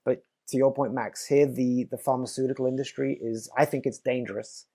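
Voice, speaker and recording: A man's voice: medium pitch, fairly even intonation, fairly quick, warm tone, slightly rough. A man from Belgium, a podcast guest speaking earnestly and emphatically in casual conversation.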